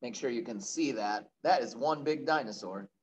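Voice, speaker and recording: A man's voice: mid-range voice, moderate pace, breathy edge, highs cut off. A man reading aloud to young children as a kindergarten teacher, chatty, asking questions and answering them himself.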